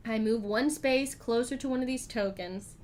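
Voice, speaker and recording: A woman streaming a solo board game, chatting casually and thinking aloud, with frequent ums and throat clearing. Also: on the high side, moderate pace, a little hiss or hum. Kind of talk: casual live-stream play-through.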